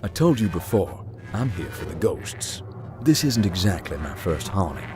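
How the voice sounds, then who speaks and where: An actor voicing a calm young man who hunts ghosts, speaking in a dry, matter-of-fact way. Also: low-pitched, normal speed, music in the background. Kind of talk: visual novel voice acting.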